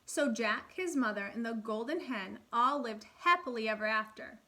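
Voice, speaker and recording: A woman with a fairly high, very bright voice speaks as a primary school teacher. She is expressive and instructive, guiding young listeners with questions. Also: moderate pace. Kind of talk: read-aloud and lesson for young children.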